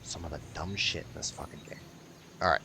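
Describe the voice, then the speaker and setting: Deep, gravelly man doing game commentary. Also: fairly even intonation, a little fast. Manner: sardonic, grumbling, swears freely. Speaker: gaming video host. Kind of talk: casual video game playthrough commentary. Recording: noticeable background noise.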